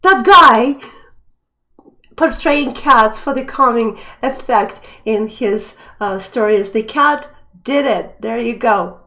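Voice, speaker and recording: A woman's voice: a little high, slow pace, pushed and bright. A young woman hosting a live stream quiz, chatting casually as she reads out questions and viewer names. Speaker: live stream trivia host.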